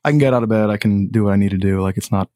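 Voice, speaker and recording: A man with a low voice, a musician guest talking in a laid-back, rambling way with many fillers. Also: rapid-fire, warm tone, dry recording, studio quality. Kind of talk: casual podcast chat.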